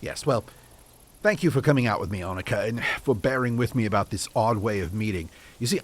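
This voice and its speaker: A man playing an earnest, haunted ex-detective in an audio drama, telling his story in a courteous, old-fashioned way. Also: on the low side. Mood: earnest, confiding. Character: earnest, haunted male British ex-detective, grieving and obsessive.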